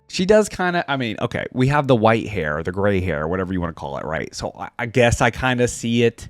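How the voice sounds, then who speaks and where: A man's voice: mid-range voice, dry recording. A man doing casual, chatty reaction commentary, reading aloud and commenting informally to his viewers.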